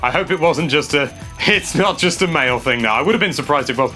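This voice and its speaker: A man's voice: fairly high pitch, expressive, fast, sharp tone, faint music behind. A young man chatting casually and self-mockingly while building a game character. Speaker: games presenter. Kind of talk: casual gameplay commentary.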